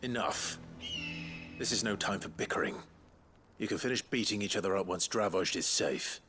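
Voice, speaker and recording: A man's voice: on the low side, animated, normal speed, lightly acted. A male actor playing a stoic, resolute warrior hero in a video game, terse and grave. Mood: stern, resolute. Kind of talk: dramatic game acting. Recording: loud music under the voice. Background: music.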